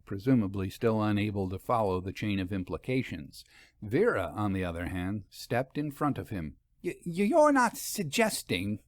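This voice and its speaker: A man giving an articulate, expressive scripted narration of a story. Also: lowish voice, dry recording. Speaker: audiobook narrator.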